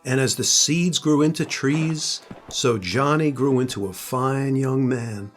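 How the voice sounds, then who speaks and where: Granddad type reading a storybook out loud, older guy, middle pitch, little bit of music behind him. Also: measured pace. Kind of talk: storybook narration.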